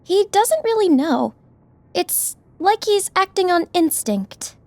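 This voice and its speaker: A nervous, curious girl in a sci-fi audiobook. Her voice is extremely high and swings around in pitch, hesitant yet excitable, recorded very dry. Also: takes it slowly, no echo, exaggerated voice. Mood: excited. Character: nervous, curious girl tagging along on adventure. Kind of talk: audiobook character acting.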